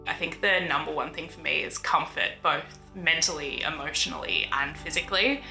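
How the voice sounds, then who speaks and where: A person with a woman's voice speaking thoughtfully in a documentary interview, measured and often saying "you know". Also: sits low, gravelly, sharp tone. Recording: echoey room with music behind.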